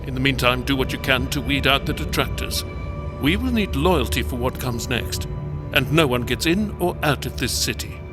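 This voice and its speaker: A man's voice: medium pitch, lively intonation, normal speed, lightly acted. Imperious, cold commander, played by a man, over music. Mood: stern, commanding. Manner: clipped, imperious, formal. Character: cold, authoritarian commander demanding loyalty, contemptuous. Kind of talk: science fiction audio drama acting.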